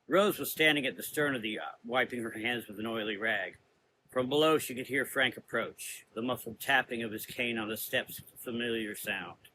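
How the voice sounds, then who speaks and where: A man delivers scripted gothic horror narration in a bright, forceful voice. Clear background ambience and noise sit behind his storytelling. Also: medium pitch, moderate pace, rough recording.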